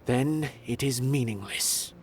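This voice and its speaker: A man's voice: mid-range voice, slow pace, lightly acted. A man voicing a grandiose, world-weary artist in a video game, speaking in an eloquent, theatrical, declamatory way. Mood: impassioned, reflective. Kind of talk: video game dramatic voice acting.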